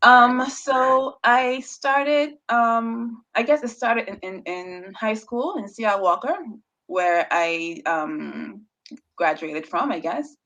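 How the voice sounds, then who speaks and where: A woman's voice: fairly high pitch, slow pace, a bit bright. A woman researcher, a guest on an interview show, telling her story casually with a stutter, repeated words and frequent laughs. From Bahamas.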